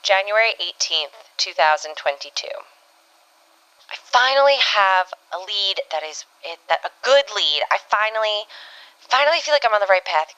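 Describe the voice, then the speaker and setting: A woman playing a determined time agent who records a mission log, haltingly thinking aloud. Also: mid-range voice, sharp tone, clean tone, low-quality recording. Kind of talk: improvised first-person log monologue.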